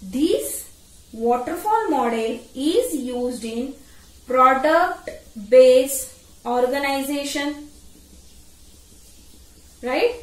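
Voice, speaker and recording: A woman's voice: high-pitched, lively intonation, extremely slow, pauses often. A woman explains step by step like a teacher, repeating phrases and checking in with short tags. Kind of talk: explanatory tutorial lecture. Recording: roomy echo, distant microphone.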